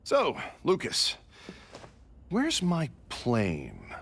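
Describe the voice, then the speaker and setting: An actor playing a gruff, dry adult adventurer pilot in a video game, with a drawling delivery. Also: male voice, medium pitch, wildly swooping pitch, drawn-out words, a bit bright, heavily acted. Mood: wry.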